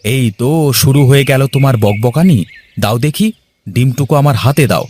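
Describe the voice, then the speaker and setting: A man's voice: medium pitch, moderate pace, darkish tone, a little hiss or hum, lightly acted. A man voicing a hot-headed, resentful younger brother from a village in a cartoon. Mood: irritated. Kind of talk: Bengali cartoon dialogue.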